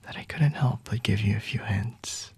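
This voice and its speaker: A man playing a flirty, teasing, predatory vampire in an ASMR roleplay, with a breathy, husky whisper and intimate delivery. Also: fairly low pitch, normal speed, darkish tone. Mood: smug, teasing.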